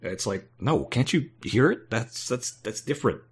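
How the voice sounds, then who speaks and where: A man's voice: mid-range voice, expressive, normal speed, slightly rough. A man with congestion from allergies, chatting on a podcast in a hesitant way, trailing off often. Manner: hesitant, many fillers, trailing off. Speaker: podcast co-host. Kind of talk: casual podcast chat.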